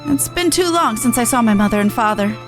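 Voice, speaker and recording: A woman's voice: fairly high pitch, expressive, brisk, music in the background, pitched below the performer's own voice, lightly acted. A woman voicing a blunt but tender-hearted young catfolk fighter from a fantasy game, frank and colloquial. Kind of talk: video game dialogue read aloud.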